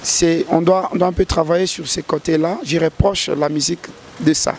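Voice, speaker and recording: A man's voice: on the high side, steady tone, moderate pace, slight background noise. A man, a gospel singer answering interview questions earnestly, often restarting his sentences. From DR Congo. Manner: earnest, hesitant with repeated restarts.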